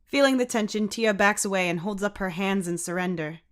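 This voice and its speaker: A woman's voice: mid-range voice, a little fast. A woman reading scripted story narration in an audiobook style.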